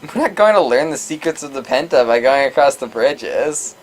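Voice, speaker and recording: A woman co-hosting a let's play, reading game dialogue aloud in a casual, animated way. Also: extremely low pitch, moderate pace, rough recording.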